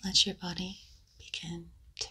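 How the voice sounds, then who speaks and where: A woman whispering a guided meditation in a slow, soothing voice, leaving long pauses between phrases. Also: lowish voice, level tone, sharp tone, breathy edge. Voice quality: unvoiced whisper.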